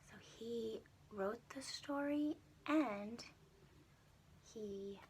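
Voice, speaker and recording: A woman preschool teacher reading a storybook aloud and chatting to young children, expressive and full of questions for her listeners. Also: fairly high pitch, drawn-out words, a little hiss or hum.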